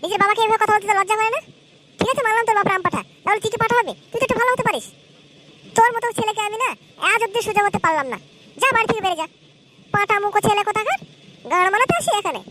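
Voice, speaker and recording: A woman voicing a scolding, exasperated village mother in a comedy cartoon, nagging and sharp-tongued. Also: very high-pitched, very fast, noisy background.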